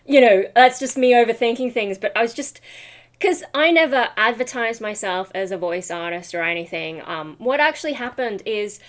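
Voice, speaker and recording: A woman's voice: a little high, lively intonation, normal speed. A woman chatting casually for a vlog in a tired, rambly, self-deprecating way with trailing asides. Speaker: vlogger and metal vocalist.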